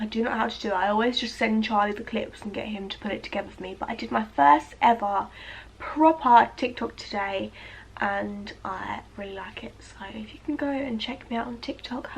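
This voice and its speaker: A woman's voice: on the high side, a little hiss or hum, poor audio. Chatty young British woman with a stuffy nose. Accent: British English. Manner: chatty, rambling, filler-heavy. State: congested, blocked nose. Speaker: vlogger. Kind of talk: casual chat to camera. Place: at home, indoors.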